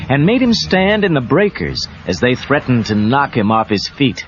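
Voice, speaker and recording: A man's voice: medium pitch, big pitch swings, moderate pace, a little hiss or hum. A man narrating a scripted children's read-along story as the storyteller. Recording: dull cassette tape under music. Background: music.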